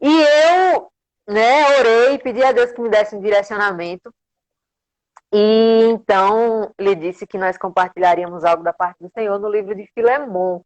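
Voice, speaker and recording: A woman preaches on a devotional livestream in a medium-pitched voice. She has a strong accent from the interior of Paraíba in northeastern Brazil. Her delivery is reverent and encouraging. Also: normal speed, poor audio. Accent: strong rural Paraíba Northeastern Brazilian. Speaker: guest preacher. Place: remote social media livestream.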